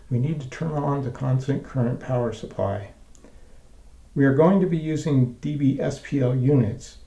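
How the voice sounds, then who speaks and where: A man narrating a scripted software tutorial in a measured, methodical, step-by-step way. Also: medium pitch, roomy sound.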